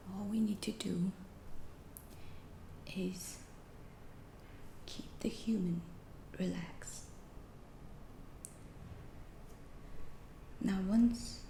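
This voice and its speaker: A woman plays a gentle alien abductor soothing a human captive in an ASMR roleplay. The voice is a hushed, breathy whisper, mellow and very unhurried, with halting phrases and a faint hiss behind it. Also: on the low side. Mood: quiet, confiding. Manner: slow, halting, reassuring.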